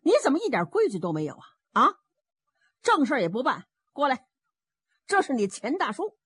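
A woman storyteller voicing a stern, chiding senior gentleman with authority in a traditional Chinese tale. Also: sits high, big pitch swings, normal speed, dry recording, studio quality, voice pushed up. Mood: scolding. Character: scolding, authoritative elder gentleman, uncle to the underling.

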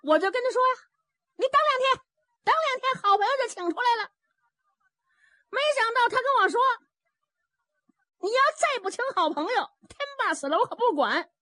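Woman playing a high, chattering, hapless underling. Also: animated, moderate pace, pauses often, sharp tone, no echo, studio quality, heavily acted. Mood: excited, complaining. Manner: rambling, garrulous, whining. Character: chattering, excitable man over thirty, hapless bandaged underling. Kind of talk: traditional Chinese storytelling.

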